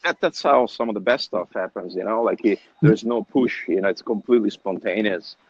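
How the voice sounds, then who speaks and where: A man whose first language is Italian, a music business lecturer talking as a guest, enthusiastic but halting with many fillers. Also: on the low side, breathy edge, dry recording. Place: Dublin, Ireland. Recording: dull lo-fi app call with faint noise.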